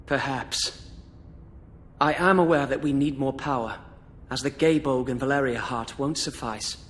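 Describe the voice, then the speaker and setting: An actor playing an earnest young noble lord in a video game, speaking formally and diplomatically. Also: male voice, medium pitch, a bit bright, lightly acted. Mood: pensive. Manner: formal, measured. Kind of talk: video game cutscene acting.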